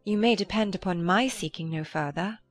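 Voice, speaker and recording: A woman playing a discreet, principled young gentlewoman in a dramatized audiobook, polite and restrained in her formal speech. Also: mid-range voice, moderate pace, dry recording, soft background music, natural voice. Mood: earnest, discreet. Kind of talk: dramatized audiobook character acting.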